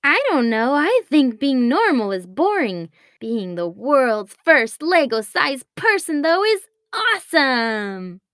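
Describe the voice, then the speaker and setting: A woman's voice: sits high, sing-song, slow pace, hardly any pauses, a bit bright, dry recording, clean studio sound, voice pushed up, exaggerated voice. A woman voicing a carefree, adventure-loving young boy in a children's story, wide-eyed and enthusiastic.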